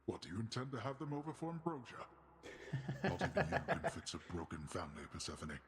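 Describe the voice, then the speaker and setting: An actor playing a proud, regretful god and stern father who rules the underworld, speaking formally and gravely in a video game. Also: mid-range voice, very animated. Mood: troubled, skeptical. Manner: formal, grave, deliberate wording.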